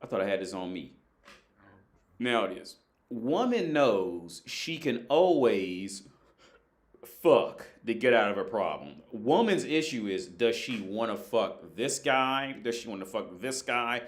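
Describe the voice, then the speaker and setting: A man from the United States hosts a casual call-in livestream. His pitch swings widely as he riffs. He talks loose and profane, often breaking into laughter. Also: very animated, a little slow.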